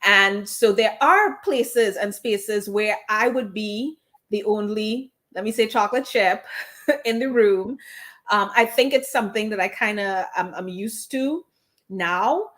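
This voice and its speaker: A woman's voice: mid-range voice, unhurried, a bit bright. Woman, slight Trinidadian accent, candid, conversational, restarts phrases, video call. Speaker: immigration attorney. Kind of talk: conversational interview answers.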